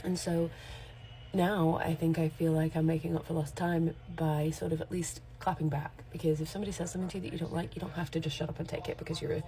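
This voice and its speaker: Forty-something woman just chatting to her phone, low mellow voice, rambly and honest, fixes her words as she goes. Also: flat delivery, fast, rough recording. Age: 40s. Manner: candid, rambling, self-correcting. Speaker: makeup artist and creator. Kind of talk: casual chatty vlog.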